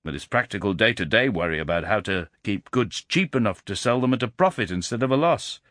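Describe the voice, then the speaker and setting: A man's voice: fairly low pitch, expressive, fairly quick, a bit bright, no echo, studio quality. A man voicing a middle-aged businessman, blustering and trying not to sound condescending.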